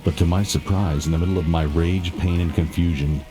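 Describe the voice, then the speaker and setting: A man acting as a brooding narrator, telling a haunted childhood memory in dramatic, measured, scripted style. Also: low-pitched, steady tone, natural voice. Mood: grim. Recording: loud music behind.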